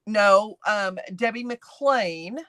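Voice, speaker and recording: A woman's voice: medium pitch, drawn-out words, no echo, studio quality. A woman in her late fifties hosting a craft live stream, teasing her co-host and talking straight to viewers as she reads their comments. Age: late 50s. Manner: lively and teasing, addresses viewers directly.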